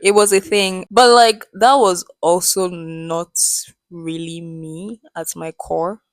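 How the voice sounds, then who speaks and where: A woman in her twenties, a podcast guest telling stories with lively, swearing-laced energy. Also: on the low side, slow, dry recording, clean studio sound. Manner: animated storyteller, swears freely. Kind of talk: casual chat.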